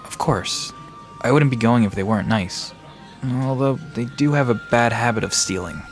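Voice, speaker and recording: A man's voice: mid-range voice, moderate pace, lightly acted. An actor playing a friendly, knowledgeable young man who explains folklore in an easygoing, reassuring way in an audio drama. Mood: relaxed, reassuring. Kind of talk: conversational audio drama acting. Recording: music and crickets behind voice.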